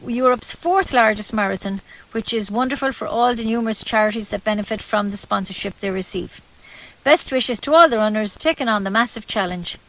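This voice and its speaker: A woman's voice: a little high, a bit bright, low-quality recording. A woman with an Irish accent reading scripted local news on community radio, formal with the occasional stumble.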